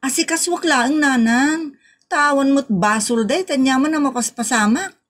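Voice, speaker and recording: A woman's voice: high voice, moderate pace, faint music behind, natural voice. A woman playing an earnest, repentant grown child with a sincere, pleading manner in a comedy audio drama. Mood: remorseful.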